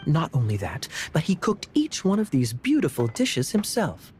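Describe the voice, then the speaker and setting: A man's voice: fairly high pitch, huge pitch swings, normal speed, darkish tone, faint music behind. A man voicing a charming knight captain who talks in an easygoing, playful way. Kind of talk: video game voice acting.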